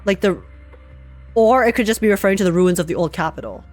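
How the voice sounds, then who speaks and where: A woman reacts live to a video game as a streamer. Her voice sits at an ordinary female pitch, and she rambles as she thinks aloud, with game music behind her. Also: fast, darkish tone.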